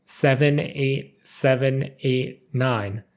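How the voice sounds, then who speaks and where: A man reads the part of a young lady of a noble house. She is gentle and playful, with a smiling manner suited to a storybook. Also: mid-range voice, fairly even intonation, very slow.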